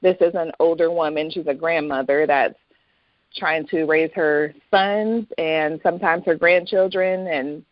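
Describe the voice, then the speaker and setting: A woman's voice: low-pitched, steady tone, measured pace, no room sound, muffled recording. A woman speaking as a guest author in a casual interview, explaining things in a conversational way.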